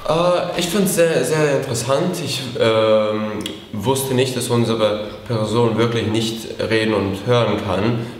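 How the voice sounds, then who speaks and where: A man's voice: medium pitch, takes it slowly. An eighteen-year-old man from Latvia answering interview questions casually, hesitant, with frequent fillers. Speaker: camp participant. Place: indoor room against a bare wall. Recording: echoey room with long reverb.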